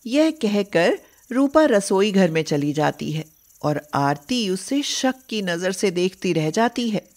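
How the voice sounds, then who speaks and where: A woman storyteller reading a scripted narration for an animated tale. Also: mid-range voice, slow.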